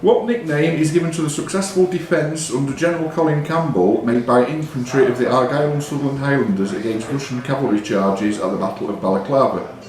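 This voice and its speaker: A man hosting a quiz, reading questions deliberately and giving short, curt rulings on the answers. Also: mid-range voice. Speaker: quizmaster. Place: indoors in a pub. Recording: very echoey room with pub crowd. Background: clear crowd chatter.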